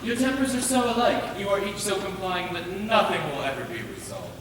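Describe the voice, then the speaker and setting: A man playing a wry, affectionate older gentleman father in a period stage comedy, with dry, teasing formality. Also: high-pitched, animated, a little fast. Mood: fond, teasing. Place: theatre stage. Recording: echoey stage from far off, audience noise.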